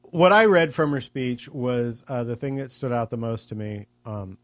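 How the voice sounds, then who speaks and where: Male co-host, mid-pitched, measured, builds arguments step by step, dry close sound. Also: no room sound. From California. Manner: measured, argues points step by step. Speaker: podcast co-host. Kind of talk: casual political chat.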